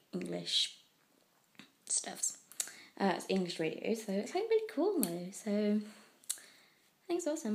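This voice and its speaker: Croaky young British woman vlogging. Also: on the high side. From United Kingdom. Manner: rambling, chatty, many fillers and trailing asides. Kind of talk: casual daily video diary. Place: indoors, abroad in Italy. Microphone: handheld phone camera.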